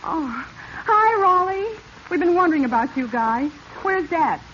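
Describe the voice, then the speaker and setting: A woman's voice: very high-pitched, very animated, a little slow, fairly bright tone, full character voice. An actress playing an earnest, proper young woman, a professor's daughter, in a 1940s radio drama. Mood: curious. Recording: dull vintage radio recording, faint hiss.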